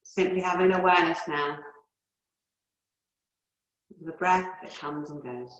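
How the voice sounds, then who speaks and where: Calm woman guiding relaxation in an echoey room. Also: fairly low pitch, lo-fi sound. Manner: calm, soothing, unhurried with long pauses. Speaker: yoga teacher. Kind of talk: guided relaxation and breathing instruction. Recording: roomy, echoey indoor sound.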